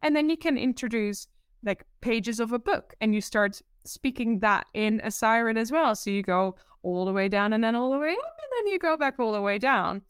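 A Dutch-accented woman with a slightly high voice, chatting as a podcast guest about her work. She talks expressively and giggles often. The sound is dry and close, with no room echo. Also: animated, normal speed, clear, resonant tone. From Netherlands. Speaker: presentation coach, guest. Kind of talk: casual podcast interview.

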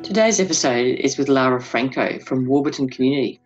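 A man announcing a podcast episode in a scripted introduction, reading smoothly and evenly. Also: mid-range voice, a little fast. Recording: muffled lo-fi with faint music.

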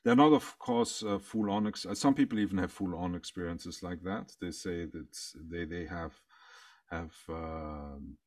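A man with a very low voice, German his first language, talks haltingly with frequent fillers. He sits back from the microphone in a dry space. He speaks as a counselor answering questions in a podcast interview. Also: very animated, no room sound, distant mic. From Austria. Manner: halting, many ums and repeated words. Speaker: counselor and breathwork guest.

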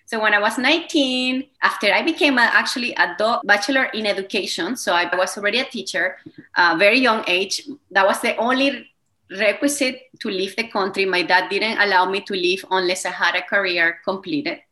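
A woman's voice: medium pitch, a little fast, sharp tone. A woman in her thirties with a Venezuelan Spanish accent, a wellness coach speaking English as a second language, talking enthusiastically as an interview guest. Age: 30s. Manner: enthusiastic, slightly non-native phrasing. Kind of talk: interview conversation.

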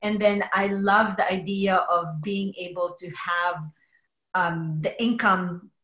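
A woman's voice: on the low side, fairly even intonation, a bit bright, reverberant. Middle-aged Filipino-born woman, earnest guest. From Philippines. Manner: earnest, measured, many fillers. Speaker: podcast guest, entrepreneur. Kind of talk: casual interview conversation.

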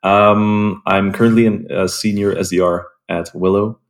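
Low, flat-voiced guest from Belgium. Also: male voice, normal speed. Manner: calm, hesitant with frequent ums. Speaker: guest, sales development rep. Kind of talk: casual interview answers.

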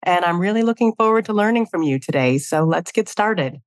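A woman hosting a podcast, reading her scripted introduction and questions at a steady, measured pace. Her voice sits on the lower side, and the recording is dry and close. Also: no echo. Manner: measured, reads guest introduction. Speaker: podcast host.

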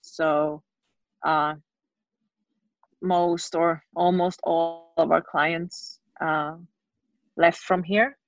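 A woman who co-owns a gym, answering interview questions steadily, with many pauses and fillers. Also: on the low side, steady tone, no room sound. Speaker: gym owner and coach. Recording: dull, dry lo-fi sound.

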